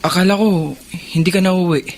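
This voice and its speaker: An actor playing a guilt-laden, earnest older brother who pleads gently in a Filipino radio drama. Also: male voice, high-pitched, fairly even intonation, a little fast, over music. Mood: pleading.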